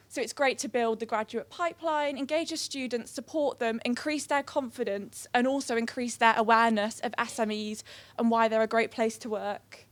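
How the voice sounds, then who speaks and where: A woman's voice: a little high, no echo. A young woman in her twenties gives a friendly, plain presentation as a recent graduate on a conference panel. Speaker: careers assistant, panel speaker.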